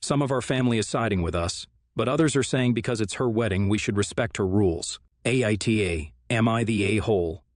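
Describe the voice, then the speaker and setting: Male narrator with a lowish voice, recorded dry and close; reads forum posts steadily, evenly paced. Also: brisk, hardly any pauses, no echo. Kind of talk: reading online forum posts aloud.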